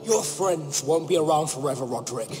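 An actor playing a vengeful, mocking young villain in a video game, with a menacing delivery. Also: male voice, a little high, animated, a little fast, long reverb tail, music in the background, close to an everyday voice. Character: sneering, vengeful young male villain, wounded. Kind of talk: dramatic video game acting.

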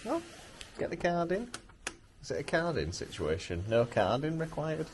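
A man's voice: a little high, big pitch swings, moderate pace. A chatty, jokey man with a Yorkshire accent talks in an easy, casual way. He sounds like a travel vlogger, playful and informal, with some noise around the voice. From Yorkshire, England. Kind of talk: casual vlog chat and voice-over. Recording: noisy handheld travel-vlog recording.

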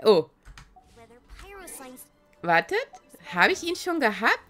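A woman with a cold chats her way through a video game on stream, excitable and giggly. Her slightly raspy voice is bright and lively, jumping up and down in pitch. She sounds close to a desk microphone. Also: slow, faint music behind. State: has a cold. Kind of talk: casual let's-play commentary.

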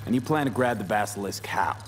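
A man's voice: lowish voice, animated, fairly quick, slight background noise, natural voice. An actor playing a cool, wry male mercenary in a video game, speaking in terse, dry phrases. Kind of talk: video game cutscene acting.

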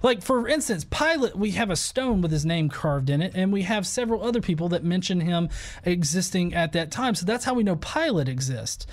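Guy with a pretty high voice arguing casually on a video call, keeps repeating words. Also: lively intonation. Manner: halting, repeats words as he argues. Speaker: host of an atheist debate show. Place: small furnished room at home, video call.

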